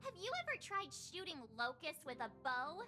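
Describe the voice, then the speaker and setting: A woman's voice: high-pitched, big pitch swings, normal speed, sharp tone, full character voice. A woman voicing a perky, cheeky teenage girl adventurer in a video game, bubbly and dramatic. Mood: exasperated. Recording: music behind the voice.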